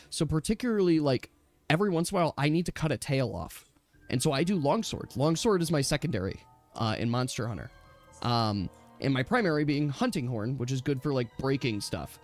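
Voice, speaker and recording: A man chatting casually and at length as a gaming stream host, emphatic and animated, often correcting himself. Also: a little high. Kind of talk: casual rambling gamer chat. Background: background music.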